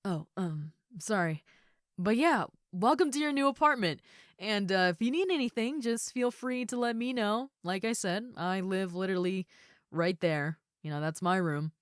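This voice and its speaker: A woman's voice: medium pitch, expressive, normal speed, no echo, clean studio sound, natural voice. A woman playing a muscular female ogre roommate in a roleplay audio, friendly, easygoing and caring, with a casual, chatty way of talking and hesitant asides. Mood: shy, tender. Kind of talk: roleplay audio acting, addressed to the listener.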